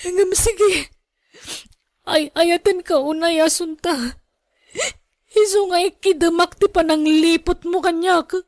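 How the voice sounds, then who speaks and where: A woman's voice: very high voice, slow, darkish tone, no echo, studio quality. A woman acting in a radio drama as a betrayed, wounded lover, emotional and reproachful, with a Filipino accent. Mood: hurt reproach. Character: betrayed, wounded lover confronting an unfaithful partner.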